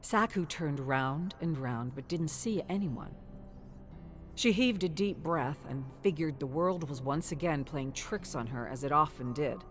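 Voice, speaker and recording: Female narrator, notably deep register, dramatic scripted storytelling at a measured delivery, faint music bed.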